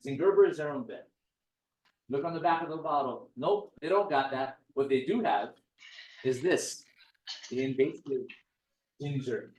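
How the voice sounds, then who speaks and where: Man, casual joking talk, mid-pitched voice, echoey room, off mic. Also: a little slow, pauses often, a little mellow, distant mic, slight background noise. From Hawaii. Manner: chatty, joking, digressive. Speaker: guest speaker.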